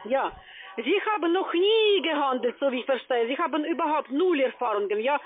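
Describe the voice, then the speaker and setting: A woman with a foreign accent, not a native speaker, giving a pushy phone sales pitch as a call-center agent, often tagging on a questioning word. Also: animated, normal speed, soft background music. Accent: foreign accent in German. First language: not German. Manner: pushy, repeatedly tagging phrases with "ja". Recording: tinny narrowband phone line.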